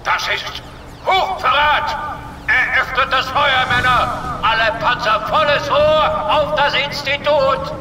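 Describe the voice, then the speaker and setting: A man's voice: extremely high pitch, slow pace, reverberant, big-room sound, recorded from a distance, poor audio. An actor playing a pompous, authoritarian general who barks orders at his troops. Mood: blustering. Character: blustering military general, male, middle-aged, authoritarian.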